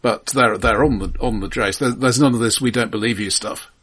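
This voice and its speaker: A man's voice: medium pitch, fairly quick, a bit bright, slightly rough. A man co-hosting a casual, analytical film discussion, hesitant and thoughtful in his phrasing. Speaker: podcast co-host.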